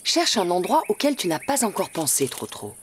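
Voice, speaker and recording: A woman voicing a patient, gently firm mother donkey in a cartoon, motherly and reasoning. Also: fairly low pitch, sing-song, normal speed, a little hiss or hum. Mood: firm, reassuring. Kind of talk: cartoon voice acting.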